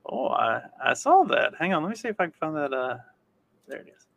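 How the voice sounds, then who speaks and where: A man's voice: a little high, very animated, brisk, creaky, a bit bright, highs cut off, a little hiss or hum. A man co-hosting a podcast in casual, informal chat. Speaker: podcast co-host.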